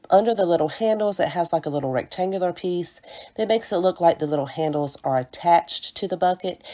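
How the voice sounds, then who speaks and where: Stuffy-nosed woman with a low, mellow voice. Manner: chatty, apologetic, fond of "little" and "cute". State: stuffy from a cold. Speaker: DIY craft channel host. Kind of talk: crafting tutorial voice-over.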